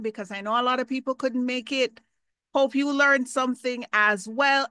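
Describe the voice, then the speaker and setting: A woman's voice: high-pitched, sharp tone, smooth, no room sound. A woman hosting an online club meeting over a video call, playful and joking between speakers. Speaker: meeting host.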